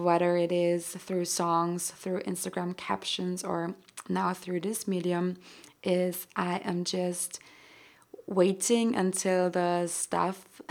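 A young Austrian woman talks slowly through her own podcast, her voice sitting a little low for a woman. She speaks with an Austrian German accent and rambles gently, with soft laughs and plenty of "um"s. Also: gravelly. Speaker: podcast host. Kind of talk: casual solo podcast monologue.

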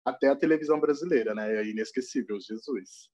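A man's voice: mid-range voice, quick pace, a little mellow, lo-fi sound. A man in his thirties with a Brazilian accent, chatting casually and colloquially as a podcast host. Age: 30s. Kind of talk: casual group chat.

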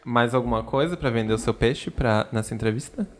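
A Brazilian man with a Brazilian accent hosts a comedy sketch as the interviewer. He asks questions briskly and teases the guests, and his voice sits at an everyday mid pitch. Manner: brisk, teasing, timekeeping. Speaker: comic interviewer. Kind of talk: improv comedy game.

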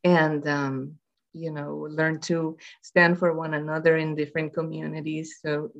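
A woman's voice: low-pitched, little pitch movement, measured pace, creaky, muffled recording. A woman from Puerto Rico, a public health researcher, speaking in a conversational interview and rambling with many fillers and restarts.

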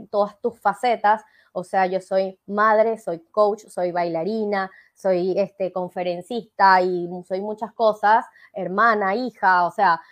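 A woman from Venezuela with a Venezuelan accent, a coach explaining her ideas in an interview, with frequent fillers and tag questions. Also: medium pitch. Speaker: life coach guest. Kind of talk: interview conversation.

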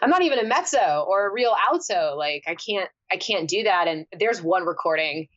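Woman, low voice, animated storyteller, laughs a lot, muffled lo-fi audio. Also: moderate pace. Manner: animated storyteller, laughs often, many fillers. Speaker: singer and podcast guest. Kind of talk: casual podcast chat.

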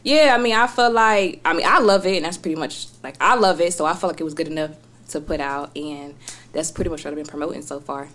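A woman with a fairly low voice talks easily and chattily as a guest rapper. She has a relaxed, conversational way of speaking and drops to a softer level at the ends of her thoughts. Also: quick pace. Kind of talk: casual interview chat.

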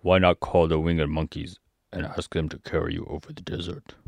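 A man's voice: rumbling low, little pitch movement, normal speed, creaky, no room sound, pitched below the performer's own voice, full character voice. A man voicing a self-satisfied, practical scarecrow ruler in a storybook reading.

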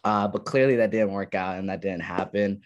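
Young Ethiopian-raised man, low voice. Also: moderate pace, muffled recording. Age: young adult. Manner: relaxed storytelling with many fillers. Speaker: podcast guest. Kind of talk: casual interview chat. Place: college dorm room.

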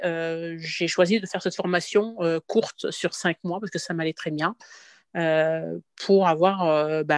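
Halting woman in her fifties, dry sound. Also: fairly low pitch, dry recording. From Morocco. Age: 50s. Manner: halting, with frequent restarts. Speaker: interview guest, tea room owner. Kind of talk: podcast interview.

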